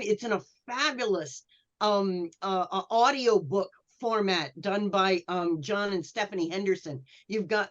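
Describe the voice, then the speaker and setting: A woman who lectures and writes, talking informally as a panelist, on slightly lo-fi call audio. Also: medium pitch. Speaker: university lecturer, author, panelist. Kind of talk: casual self-introduction.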